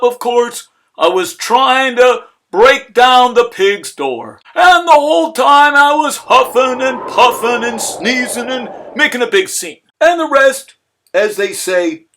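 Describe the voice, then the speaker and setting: A man's voice: very high-pitched, fairly bright tone, heavily acted. A man voicing an aggrieved, folksy wolf who pleads his innocence in a theatrical, self-justifying storybook read-aloud.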